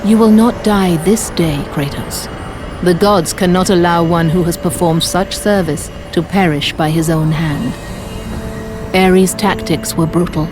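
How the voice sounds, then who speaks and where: A stately goddess played by a woman speaks slowly in a low, soft, measured voice. She sounds calm and solemn. Game music plays clearly beneath. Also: lightly acted. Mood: commanding. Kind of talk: video game cutscene acting. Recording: game cutscene under music.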